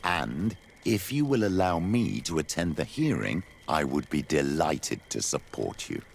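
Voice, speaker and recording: Extremely deep, gravelly priest. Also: male voice, sing-song, measured pace, a little hiss or hum, heavily acted. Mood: courteous. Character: grave, deep-voiced old-world priest, politely supportive. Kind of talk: scripted fantasy game dialogue. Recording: long reverb, faint background ambience.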